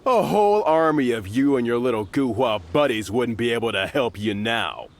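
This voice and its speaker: An actor playing a cocky, menacing male bully in a video game, taunting in a mocking, drawn-out way. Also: mid-range voice, animated, a little hiss or hum, lightly acted. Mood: menacing, smug.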